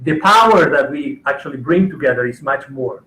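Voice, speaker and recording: A man's voice: mid-range voice, animated, moderate pace, fairly bright tone, slight background noise. Enthusiastic male coach, emphatic and repetitive, echoey room. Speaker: guest coach. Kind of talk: casual conversation.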